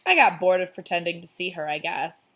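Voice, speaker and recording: A woman's voice: on the low side, lively intonation, fairly quick, fairly bright tone. Lady doing a young girl in a kids story, offhand, not bothered, plain matter-of-fact talk.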